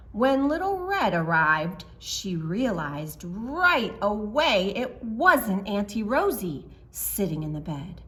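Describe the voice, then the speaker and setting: Animated, unhurried woman storyteller for children. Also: mid-range voice. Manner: expressive, explaining simply to children. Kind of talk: animated storytime narration and simple craft explanation for children.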